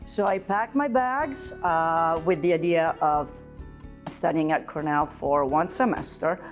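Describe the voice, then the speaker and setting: A woman's voice: sits low, unhurried, soft background music. A woman from Italy with an Italian accent, Italian as her first language, telling her story in a reflective interview as a university teacher. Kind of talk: interview clip for a tribute video.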